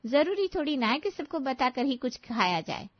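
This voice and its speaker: An indulgent older mother from a storybook, put on by a woman, fondly scolding in a high pitch. The recording is a bit dull. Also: sing-song, moderate pace, dry recording.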